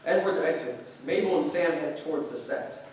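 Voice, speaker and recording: In a very echoey room, a man reads stage directions for a play. His voice is fairly high and picked up from a distance. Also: normal speed, a little mellow, a little hiss or hum. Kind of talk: scripted narration in staged reading.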